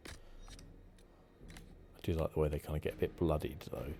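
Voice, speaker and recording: A man giving relaxed, playful ASMR gameplay commentary, rambling a little hesitantly. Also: rumbling low, moderate pace, gravelly. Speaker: gaming video host. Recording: game noise behind the commentary. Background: fantasy video game sounds.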